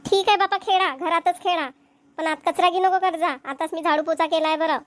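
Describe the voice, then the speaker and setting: A fretful cartoon homemaker acted by a woman with a rural Vidarbha accent. She fusses over household problems aloud in a very high, fast voice. The sound is dry. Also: fairly even intonation, dry recording. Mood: mildly scolding. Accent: Maharashtrian, rural Vidarbha dialect. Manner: fussing, talks problems through aloud. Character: fretful homemaker mother of two young children. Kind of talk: Marathi cartoon comedy dialogue and monologue.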